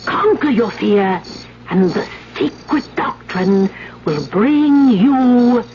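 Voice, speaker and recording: High, slow-speaking commanding older witch. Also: lively intonation, darkish tone. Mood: sly, persuasive. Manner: incantatory, theatrical, commanding. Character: commanding village witch, older woman, fervent and sly. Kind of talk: 1970s radio drama acting. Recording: muffled, noisy 1970s radio broadcast.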